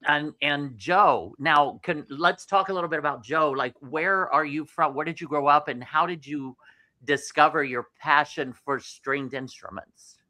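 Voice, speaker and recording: A male host keeps the chat moving with eager questions and quick affirmations. His voice is bright and forward, recorded close and dry. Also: a little high, no echo. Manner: enthusiastic, lots of backchannel affirmations. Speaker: talk show host. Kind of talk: casual interview chat. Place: restaurant.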